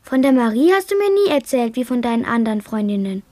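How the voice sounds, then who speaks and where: A woman's voice: on the high side, sing-song, fast talker, dark tone, dry recording. An actress playing a curious, impatient grandchild who prods a grandmother eagerly in a radio drama. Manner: eager, prodding.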